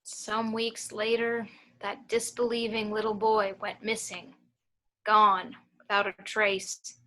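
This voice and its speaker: A woman playing a haunted storyteller, grave and hushed, recalling a sinister childhood in a table read. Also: medium pitch, little pitch movement, takes it slowly, poor audio. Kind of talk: dramatic monologue in amateur table read.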